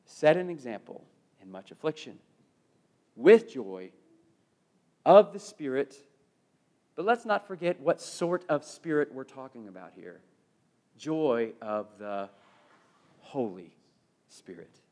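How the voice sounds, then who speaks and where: A middle-aged American man preaching a sermon, emphatic and given to repeated phrases, moving between loud proclamation and hushed delivery. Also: mid-range voice, big pitch swings, takes it slowly, long pauses.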